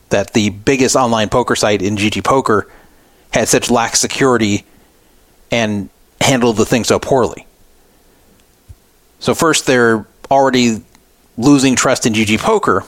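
A man's voice: medium pitch, normal speed, long pauses, no echo. A man hosting a talk podcast, giving opinionated, explanatory commentary off the cuff. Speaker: podcast radio host. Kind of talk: unscripted poker news commentary.

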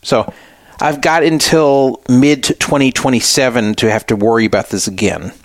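A man's voice: mid-range voice, fairly even intonation, moderate pace, no room sound. Middle-aged American man, casual dry-room talk. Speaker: radio show host. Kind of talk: casual personal storytelling.

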